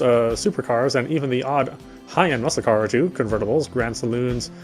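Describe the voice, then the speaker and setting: Man, mid-pitched voice, read-aloud game narration, faint background music. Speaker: let's player reading a visual novel aloud.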